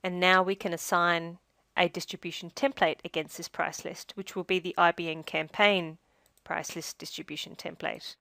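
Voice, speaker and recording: A woman narrating a scripted software tutorial as a demonstrator, in a measured, step-by-step instructional way. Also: lowish voice, little pitch movement, gravelly, no room sound.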